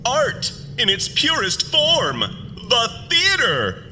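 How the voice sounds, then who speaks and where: A man's voice: very high-pitched, wildly swooping pitch, very slow, pushed and bright, big-room sound, a little hiss or hum, heavily acted, poor audio. A man playing a pompous, florid alien monster who fancies himself a great playwright, in an audio drama. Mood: grandiose, showy. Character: theatrical alien monster, pompous self-styled thespian playwright.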